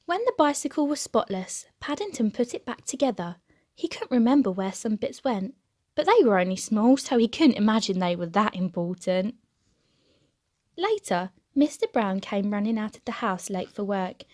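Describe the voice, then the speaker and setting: A woman reading a children's storybook aloud as an expressive narrator. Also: medium pitch, moderate pace, no echo, clean studio sound. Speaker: storybook reader.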